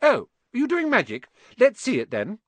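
A man voicing a bossy, eager, know-it-all young schoolgirl in a children's audiobook, speaking in a rapid, emphatic rush. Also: very high-pitched, wildly swooping pitch, no echo, pitched above the performer's own voice, full character voice. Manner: rapid, breathless, emphatic delivery.

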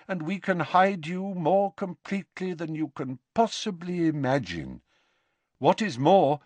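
A man voicing a wise old wizard in an audiobook, measured and polite, calm with a gently wry manner. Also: on the high side, dry recording, pitched above the performer's own voice.

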